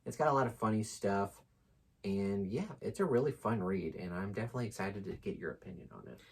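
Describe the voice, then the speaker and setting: Male co-host, low slightly rough voice, relaxed unscripted book chat, jokey asides. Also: fast.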